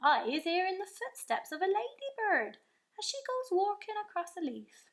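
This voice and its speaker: A woman doing a big gentle giant, lively. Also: very high-pitched, moderate pace, voice pushed up, exaggerated voice. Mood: wondering. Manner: broken grammar, folksy, animated. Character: big gentle old male giant, earnest.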